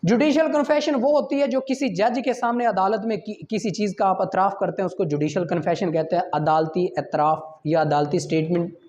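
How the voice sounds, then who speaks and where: A man with a Pakistani accent lecturing law students, reading formal passages slowly and deliberately, then explaining them didactically. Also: high voice, fairly even intonation, darkish tone.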